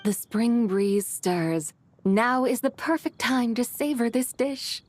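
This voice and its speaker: Serene, courtly immortal lady. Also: a little high. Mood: solemn, poised. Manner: formal, measured, poised. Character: serene, gracious ancient immortal woman, wise and courtly. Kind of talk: video game voice acting. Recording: background music.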